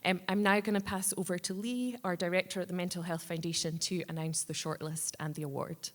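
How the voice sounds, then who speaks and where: Low-voiced woman speaking in an echoey hall. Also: steady tone, fairly quick, slight background noise. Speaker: charity representative presenting an award. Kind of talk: semi-prepared awards ceremony speech. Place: large reverberant hall at a live ceremony. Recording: echoey hall with long reverb.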